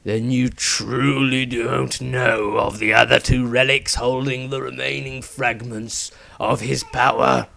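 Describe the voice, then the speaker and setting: Man voicing a slow, menacing dying cult villain. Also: medium pitch, flat delivery, gravelly, bright, forceful tone, exaggerated voice. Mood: gloating, menacing. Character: dying male cultist villain, fanatical, gloating and menacing.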